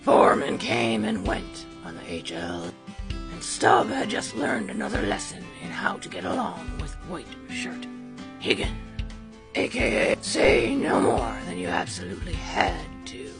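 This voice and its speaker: A woman's voice: sits low, huge pitch swings, a little slow, creaky. A woman reading a western story aloud in a casual, chatty way, breaking off to comment on the text, with music behind. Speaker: storytime host.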